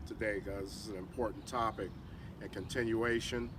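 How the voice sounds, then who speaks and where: Guy w a head cold, kinda nasal, hosting outside in a park, mic far off, street noise clear behind. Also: lowish voice, steady tone, moderate pace. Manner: rambling with many fillers. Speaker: host. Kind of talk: casual interview introduction. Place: outdoor park in a city.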